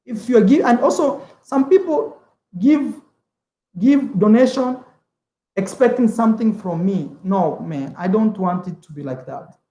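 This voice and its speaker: A man's voice: high-pitched, expressive, measured pace, dark tone, echoey room, poor audio. A young man from East Africa whose first language is Swahili, chatting casually on a travel livestream, rambling, repeating words and calling the viewer "man". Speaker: travel vlogger.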